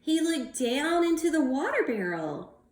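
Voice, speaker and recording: High-voiced woman reading aloud to children. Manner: animated, drawn-out delivery, prompting young listeners. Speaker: teacher reading a picture book aloud to young children. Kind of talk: expressive storybook read-aloud with questions to young children. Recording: roomy, echoing indoor sound.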